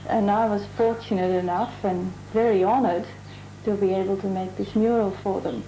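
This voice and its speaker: A woman from the Netherlands, a mural artist, talking thoughtfully in a documentary interview and pausing to find her words. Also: medium pitch, fairly even intonation, mellow, a little hiss or hum, low-quality recording. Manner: chatty.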